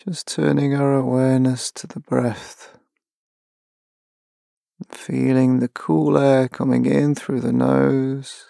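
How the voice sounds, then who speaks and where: A man leading a guided mindfulness meditation, giving gentle, measured instructions with long pauses. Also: medium pitch, little pitch movement, creaky, no room sound, clean studio sound.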